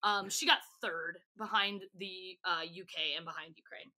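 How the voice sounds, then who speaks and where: A woman's voice: fairly low pitch, fairly even intonation, moderate pace, sharp tone. A woman chatting casually and enthusiastically as a podcast guest, in informal, gushing fan talk. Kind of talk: casual chat.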